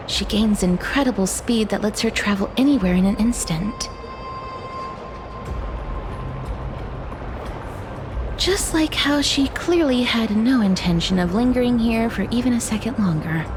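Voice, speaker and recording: A woman playing a gentle, earnest young adventurer in a video game, explaining things in a soft, solemn, thoughtful way. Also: a little high, noisy background, natural voice. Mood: concerned, resigned. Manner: soft, solemn, breathy sighs. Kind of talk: video game dialogue, expository. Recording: music behind the voice.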